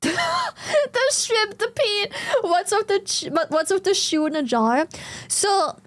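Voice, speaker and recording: High-voiced German woman streamer. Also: big pitch swings, takes it slowly, fairly bright tone. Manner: laughs often, swears casually, blunt. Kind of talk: casual live-stream chat.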